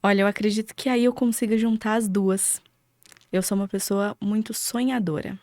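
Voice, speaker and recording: A woman's voice: mid-range voice, fairly even intonation, moderate pace, darkish tone, no echo, studio quality. A woman from Paraná with a Brazilian accent, a podcast guest telling her story casually and reflectively in short listed phrases. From Cambé, Paraná, Brazil.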